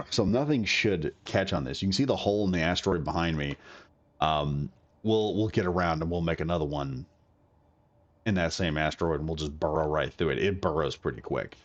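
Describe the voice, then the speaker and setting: A man's voice: extremely low pitch, moderate pace, lo-fi sound. A man recovering from a cold, coughing now and then, giving a rambling, chatty commentary on a game build. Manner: rambling, chatty, self-correcting. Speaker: gaming video creator.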